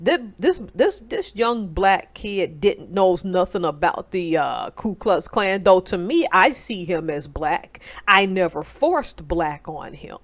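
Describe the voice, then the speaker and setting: A woman's voice: lowish voice, sing-song, takes it slowly, few pauses. A woman, giving a casual, emphatic commentary monologue. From North Alabama, United States. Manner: emphatic, conversational, digressive. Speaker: commentator, military veteran.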